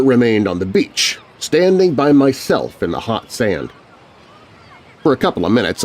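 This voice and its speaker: A man reads as a shaken kid narrator in a scary storybook. The telling is dramatic and very animated in pitch, grumbling and expressive, broken by deliberate pauses. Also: natural voice. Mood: wary, imaginative. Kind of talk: first-person scripted story narration.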